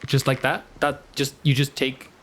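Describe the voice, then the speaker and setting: A man's voice: medium pitch, completely flat delivery, normal speed, fairly bright tone. A man playing a tabletop game, talking sheepishly and in character at times. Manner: sheepish, apologetic. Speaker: player.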